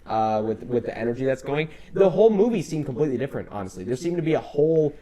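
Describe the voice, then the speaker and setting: A man's voice: mid-range voice, sing-song, fast, warm tone. A man co-hosting an enthusiastic, casual discussion about films, opinionated and stressing his points with repetition. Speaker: podcast co-host.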